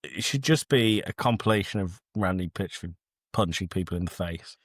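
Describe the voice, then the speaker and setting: A man's voice: low voice, normal speed, dry recording. A man co-hosting a casual film review chat with dry, sardonic humour. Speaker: podcast co-host.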